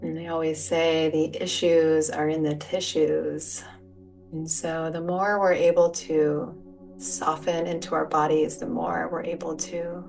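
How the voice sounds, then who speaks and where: A woman leading a guided relaxation as a yoga teacher, speaking in a soothing, gentle, unhurried manner. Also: low voice, creaky. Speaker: yoga nidra meditation guide. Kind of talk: guided meditation, soft spoken instruction. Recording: distant mic, music behind. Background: soft music or sound bowls.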